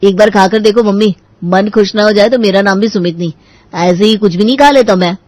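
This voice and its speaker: A man's voice: high voice, fairly quick, dry recording, natural voice. A man voicing a cheeky, snack-loving young brother-in-law in a family story. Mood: breezy.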